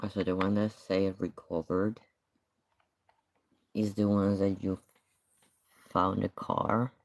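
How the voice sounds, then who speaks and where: A man whose first language is Spanish, reacting to videos on a live stream, thinking aloud in a halting way and sometimes muttering. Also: sits low, flat delivery, creaky, dry recording. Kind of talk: casual live-stream commentary.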